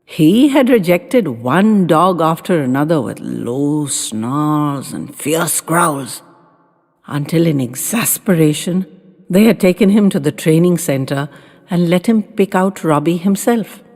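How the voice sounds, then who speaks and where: A woman's voice: low-pitched, lively intonation, takes it slowly, darkish tone. Woman narrating expressively in long reverb. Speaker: storyteller. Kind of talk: expressive scripted audiobook narration. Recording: long reverb with background music. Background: music.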